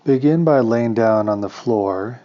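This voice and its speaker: A man leading a guided meditation as a teacher, speaking calm, deliberate, soothing instructions with pauses, on a slightly muffled recording. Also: mid-range voice.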